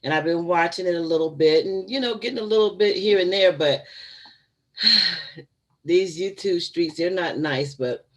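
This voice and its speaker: A woman's voice: fairly low pitch, measured pace. A woman in her fifties hosting a casual livestream from home, chatty and given to laughing asides to her viewers. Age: 50s. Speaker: channel host.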